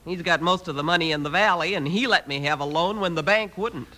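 A woman's voice: on the low side, a little fast, sharp tone. An actress playing a plainspoken, worried woman rancher in an old-time radio western. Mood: earnest, worried. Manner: folksy, homespun. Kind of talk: 1950s radio western acting.

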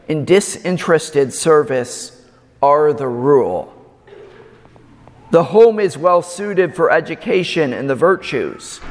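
Man, homily in a church, slightly raspy, slow deliberate delivery, long echo. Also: on the high side. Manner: slow, measured preaching with emphatic pauses. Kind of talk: sermon. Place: large church.

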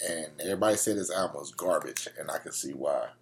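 A man's voice: very deep voice, quick pace, creaky. A man co-hosting a podcast in blunt, slangy, casual banter. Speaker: podcast co-host. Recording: faintly noisy podcast recording.

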